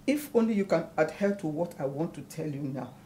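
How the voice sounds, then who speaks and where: Proud, wounded mother, swinging pitch. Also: animated, a little fast, dark tone, slight background noise. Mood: pleading, respectful. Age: middle-aged. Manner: emphatic, declamatory. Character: wounded, proud elder mother scolding her son. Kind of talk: melodramatic film acting. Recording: music under the dialogue.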